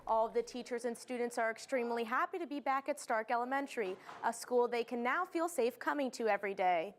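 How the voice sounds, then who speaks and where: A young woman with a high voice reads scripted news as a television reporter. Her delivery is formal and built for broadcast. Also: brisk.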